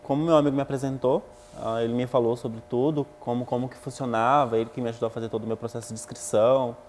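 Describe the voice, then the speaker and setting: A man's voice: mid-range voice, steady tone, quick pace, darkish tone, slight background noise. A man in his twenties from northern Brazil, a student giving an earnest interview on a lapel mic indoors. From Acre, northern Brazil. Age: 20s. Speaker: animal science student. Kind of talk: interview testimony. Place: indoors by a painted wall.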